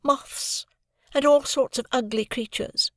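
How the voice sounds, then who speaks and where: A woman's voice: high-pitched, steady tone, slow pace, a bit bright, dry recording, studio quality, pitched above the performer's own voice. A woman reading an audiobook voicing a proud, cold young woman with a cool, teasing, detached manner. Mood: mocking.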